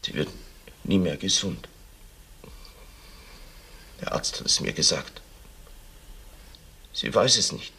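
An actor playing a bitter, grieving middle-aged father in a German crime radio play, speaking haltingly with emotion. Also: deep voice, very animated, gravelly, pushed and bright, slight background noise, full character voice. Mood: subdued grief. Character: bitter, grieving father who confessed to killing.

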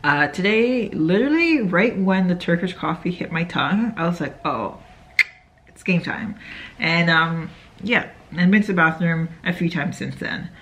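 A woman's voice: on the low side, lively intonation, normal speed, a bit bright, lo-fi sound. A young woman vlogging casually from a hotel bed, rambling and chatty with lots of fillers and self-corrections. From Canada. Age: young adult. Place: hotel room, indoors, sitting in bed. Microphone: phone camera held close.

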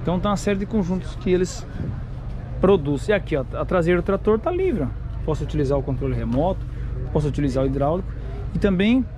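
A man talks casually in a slightly high voice. He is showing farm machinery outdoors at a busy fair. He keeps tossing in tag questions and restarts, and there is clear noise behind him. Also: expressive, quick pace. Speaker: channel host reviewing farm machinery. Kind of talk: casual walkaround presentation.

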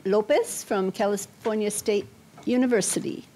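A woman moderating a panel, making brisk announcements about time and the next speaker and slipping into Spanish, picked up from a distance on a room microphone. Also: medium pitch, warm tone.

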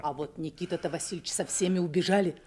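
A woman's voice: fairly low pitch, fast talker, mellow, close to an everyday voice. An actress playing an anxious, devoted woman of a Cossack household, emotional and exclamatory, in a radio play. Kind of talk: Soviet radio play acting. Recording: noisy vintage Soviet radio drama recording.

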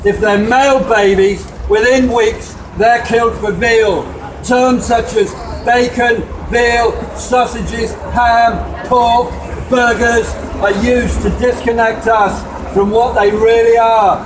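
A man's voice: very high voice, little pitch movement, slow. A man giving an animal rights protest speech and chanting slogans in an emphatic, accusatory way. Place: shop doorway at a street protest. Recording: distant, echoey, very noisy street recording.

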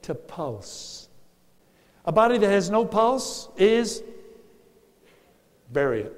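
A man's voice: a little high, darkish tone. Older man lecturing in a reverberant church. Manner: earnest, emphatic, dry humor with audience. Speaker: guest speaker on church music. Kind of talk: teaching sermon to a congregation. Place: large church sanctuary. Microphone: lectern mic. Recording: long church hall reverb.

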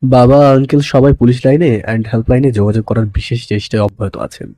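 A man's voice: medium pitch, fairly even intonation, fairly quick, dark tone, no echo, lightly acted. A man playing an intense, possessive young lover in a romantic audio story, pleading dramatically and mixing English words into his speech. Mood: smug, teasing.

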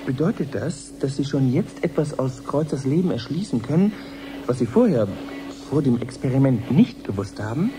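A man's voice: medium pitch, lively intonation, moderate pace, close to an everyday voice. Actor as awestruck male reporter over music. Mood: eager, formal. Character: eager, awestruck male live science reporter. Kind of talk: 1980s German radio drama, live commentary.

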